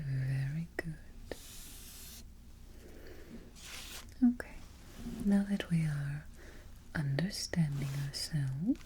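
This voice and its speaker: A smug, imperious evil queen, acted by a woman, murmurs her orders in a breathy near-whisper, very close to the mic. Her delivery is slow and deliberate, with a mellow tone and faint noise behind it, in a soft ASMR roleplay style. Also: lowish voice, rough recording. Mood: commanding, smug. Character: haughty, gloating evil queen giving orders to a trusted underling. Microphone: close-up ASMR microphone.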